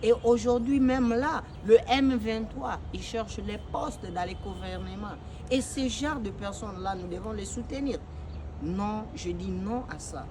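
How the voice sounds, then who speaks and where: A man's voice: high voice, measured pace, background noise. A young man from Congo giving an impassioned political address, emphatic and repetitive, with frequent hesitations. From Democratic Republic of the Congo. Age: young adult. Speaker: activist giving a video message.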